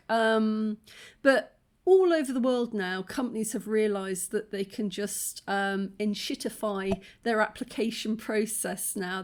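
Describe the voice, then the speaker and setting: A woman hosting a reaction video with a croaky voice, talking in an outspoken, indignant way with plenty of fillers. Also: a little high. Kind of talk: casual reaction commentary.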